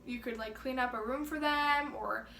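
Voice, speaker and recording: A woman's voice: high voice, fairly even intonation, moderate pace, crowd noise. A teenage girl chatting casually as co-host of a video, full of filler "likes". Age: teens. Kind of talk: casual chat. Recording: echoey room.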